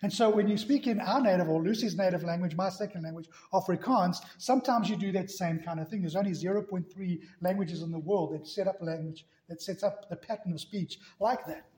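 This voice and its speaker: A man's voice: high-pitched, fairly quick, hardly any pauses, darkish tone. A man preaching a sermon in a church, emphatic, hammering key words again and again. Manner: emphatic, repeats key words with rising force. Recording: echoey room.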